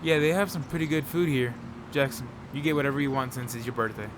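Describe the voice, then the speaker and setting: A man's voice: mid-range voice, fast, noisy background, close to an everyday voice. A man playing a laid-back, joking friend in an audio drama, talking in casual, teasing banter. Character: Ed, joking, laid-back male buddy of the hero.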